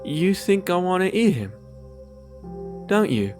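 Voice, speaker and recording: An actor voicing a charismatic demon fiddler, roguish and protective with a wounded side, in a theatrical audio drama style. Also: male voice, high-pitched, very animated, takes it slowly, faint music behind, full character voice. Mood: hurt.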